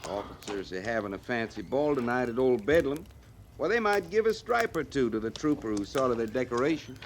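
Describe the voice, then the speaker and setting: A man acting a gruffly teasing cavalry sergeant in a radio western. His mid-pitched voice is laconic and deadpan, with a rural western American accent. The old recording carries a light layer of noise. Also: a little fast, natural voice. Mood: wry, teasing.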